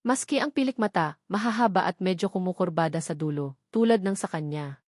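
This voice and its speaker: A woman's voice: on the low side, brisk, a little mellow, no room sound. Filipino woman narrating dramatically, dry close sound. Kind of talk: dramatic scripted storytelling narration.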